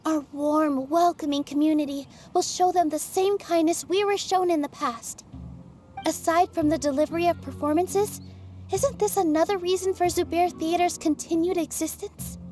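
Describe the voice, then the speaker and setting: An actress voicing a gentle, earnest young woman in a video game, speaking softly and reassuringly. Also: very high-pitched, fairly even intonation, moderate pace, faint music behind. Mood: thoughtful. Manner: soft, thoughtful, reassuring. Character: gentle young female dancer, earnest and encouraging.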